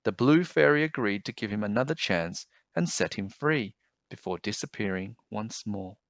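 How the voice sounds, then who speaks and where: A man reading a children's storybook aloud as an expressive narrator. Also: fairly low pitch, normal speed, dry recording, muffled recording.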